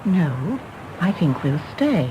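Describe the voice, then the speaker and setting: An actress playing a wistful, wry middle-aged Englishwoman in a radio play, speaking in a measured, genteel English accent. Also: on the low side, sing-song, lo-fi sound. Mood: firm. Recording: faint background noise and ambience.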